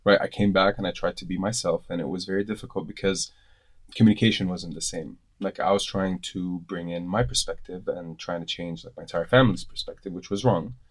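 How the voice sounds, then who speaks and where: Deep-voiced man musing on culture. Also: a little fast. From Kuwait. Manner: reflective, talks in long explanatory runs. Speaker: panel guest. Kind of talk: casual discussion.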